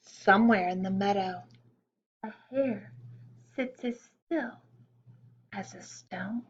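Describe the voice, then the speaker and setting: A woman reading a children's picture-book poem aloud in a slow, gentle storytime manner with soft hushed asides. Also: medium pitch, highs cut off, a little hiss or hum. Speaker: storytime reader.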